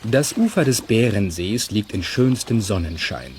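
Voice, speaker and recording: A man narrating a children's radio play as a calm, measured and gently wry storyteller. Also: on the low side, a little hiss or hum.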